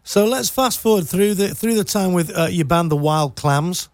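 A male radio host interviewing a guest in a studio, easygoing and polite, a little halting. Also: high-pitched, dry recording. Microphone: studio microphone.

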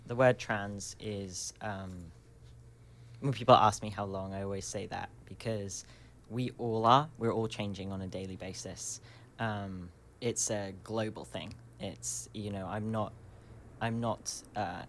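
A young man in his twenties talks thoughtfully as a radio guest, pausing often with ums as he searches for words. His voice is bright and forceful yet sits fairly low, and he laughs easily between thoughts. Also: slight background noise. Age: 20s. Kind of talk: reflective radio interview talk.

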